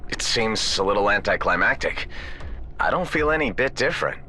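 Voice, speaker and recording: An actor playing a weary but determined clone space pilot in a video game, talking in a colloquial, sardonic way. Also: male voice, fairly low pitch, animated, moderate pace. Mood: weary, frustrated. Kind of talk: scripted video game dialogue. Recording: loud music behind the voice.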